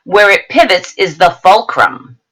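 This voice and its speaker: Woman teacher reading aloud with lively, swooping intonation. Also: mid-range voice, a little slow. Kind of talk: read-aloud science lesson with explanatory asides.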